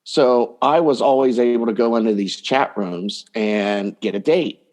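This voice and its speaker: A man with a voice of average pitch speaks as a guest coach on a podcast. He explains things in a casual, conversational interview style. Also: measured pace, darkish tone.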